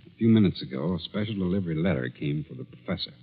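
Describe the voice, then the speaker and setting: An actor playing a gruff police sergeant reporting to his superior in a 1940s radio drama. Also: low-pitched, expressive, racing. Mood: matter-of-fact. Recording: muffled phone-like old radio.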